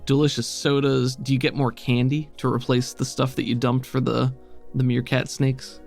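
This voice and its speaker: A man's voice: medium pitch, level tone, normal speed, creaky, darkish tone, soft background music. A man acting as game master, narrating and describing scenes for a tabletop game.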